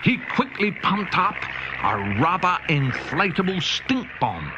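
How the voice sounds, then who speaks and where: A man narrating a children's storybook in a playful, animated way. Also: sits high, measured pace, noisy background. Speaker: audiobook narrator. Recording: dull cassette tape with music.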